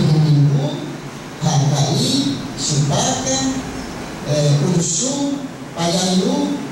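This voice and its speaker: A middle-aged woman whose first language is Terena speaks haltingly and restarts her sentences often. Her voice sits in a middle pitch and comes from some distance off the mic. It rings through a heavily echoing hall with steady noise behind it. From Mato Grosso do Sul, Brazil. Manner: halting, with frequent pauses and restarts. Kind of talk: round-table talk. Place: large hall at a conference.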